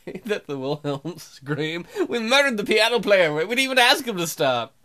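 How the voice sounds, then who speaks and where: Bright, high-voiced man joking through a game. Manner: jokey, crude, rambling. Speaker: let's play host. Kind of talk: comic game commentary and read-aloud.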